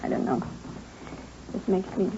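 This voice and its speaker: A woman's voice: a little slow, natural voice. An actress playing a weary, bitter middle-aged working-class mother in a 1950s radio drama, resigned and plain-spoken. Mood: bitter, ashamed. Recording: muffled, noisy 1950s radio recording.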